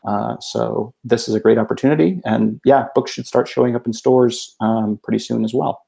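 A man giving a casual product update as a podcast guest over a video call, in halting phrases. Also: mid-range voice, fairly even intonation, gravelly, mellow. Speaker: podcast guest, game publisher representative.

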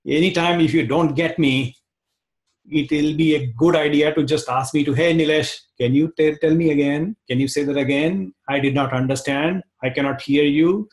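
A man in his forties with an Indian accent, teaching an online class over a video call, talking quickly and restarting phrases. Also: fairly high pitch, steady tone, poor audio. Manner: fast-talking, repeats words, restarts phrases. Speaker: instructor. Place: home room, indoors. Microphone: webcam on video call.

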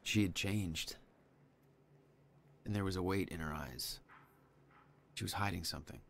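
A man playing a brooding hero who narrates a video game in a somber, gravelly voice. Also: sits low, unhurried, slight background noise. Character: brooding male hero recounting past events.

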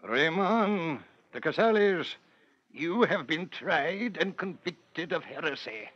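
An actor playing a stern, solemn Inquisition judge with formal, declamatory delivery in a 1940s radio play. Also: male voice, on the high side, expressive, takes it slowly, slightly rough, exaggerated voice. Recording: dull old radio broadcast.

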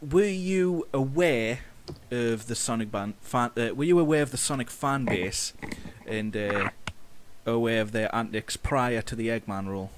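A man co-hosting a podcast, asking casual interview questions with hesitant, halting phrasing. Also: medium pitch, slight background noise. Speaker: podcast co-host.

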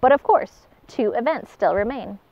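A woman's voice: a little high, animated, normal speed, mellow. A woman reading as a wise old pelican judge. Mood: judging. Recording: dull lo-fi recording.

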